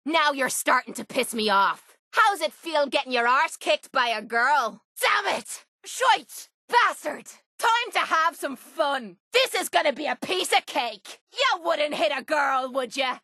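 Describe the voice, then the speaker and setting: Brash Irish cage-fighter girl, high-pitched. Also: pushed and bright, no echo, clean studio sound, exaggerated voice. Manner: blunt, salty, cocky. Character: brash, foul-mouthed young female cage fighter, tough and hot-tempered. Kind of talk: video game companion voice lines.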